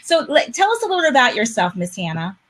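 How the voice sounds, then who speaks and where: A woman's voice: a little high, big pitch swings, fast talker, noisy background. Enthusiastic American woman hosting a live show. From United States. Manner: enthusiastic, rambling with restarts. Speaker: show host. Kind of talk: casual live-stream interview.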